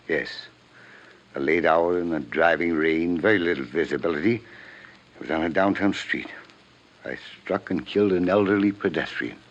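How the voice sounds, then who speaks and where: A man acting out a stiff, aristocratic older father weighed down by guilt, in an old radio play. His voice is extremely deep and his diction is formal and measured. The recording is dull and muffled. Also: lightly acted. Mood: defensive, troubled. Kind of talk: 1950s radio drama acting.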